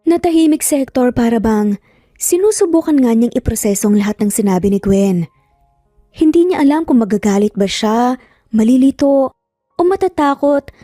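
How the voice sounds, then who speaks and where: Woman, story narrator, fairly high mellow voice, scripted dramatic reading, dry recording over faint music. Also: lively intonation, moderate pace, pauses often, dry recording.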